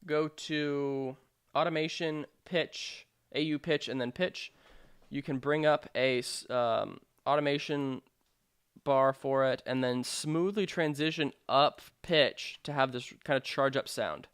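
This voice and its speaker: Clear-voiced man giving a dry tutorial. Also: medium pitch, steady tone, takes it slowly, a bit bright, no room sound. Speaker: sound design tutorial presenter. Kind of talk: software tutorial narration.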